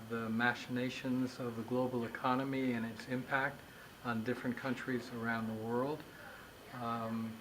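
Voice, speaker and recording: Older man speaking in even measured tones. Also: medium pitch, a little hiss or hum, rough recording. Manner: measured, with frequent filler pauses. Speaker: executive director of the organization. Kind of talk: explanatory interview talk. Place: indoor office room.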